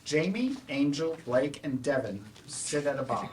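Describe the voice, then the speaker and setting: Someone reading out stage directions at a play reading, middle pitch, bit noisy room. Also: big pitch swings, unhurried, darkish tone.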